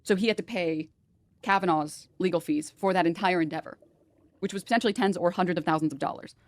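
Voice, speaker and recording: A woman's voice: on the low side, fast, no echo. A woman streamer explaining a lawsuit in an exasperated, sarcastic way, played as a clip.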